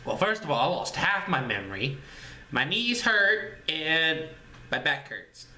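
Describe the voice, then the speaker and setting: A man's voice: fairly high pitch, big pitch swings, unhurried, bright, forceful tone. A man of thirty talking casually to camera as the host of his channel, rambling and repeating himself in an upbeat, goofy way. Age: 30s. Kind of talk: casual vlog talk to camera. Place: small room indoors. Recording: echoey room, faint room noise.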